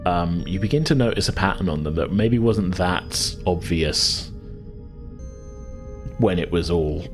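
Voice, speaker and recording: A very low-voiced man runs a tabletop sci-fi game as game master. He describes scenes conversationally and feels his way through phrases, with music clearly playing behind him. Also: expressive, measured pace.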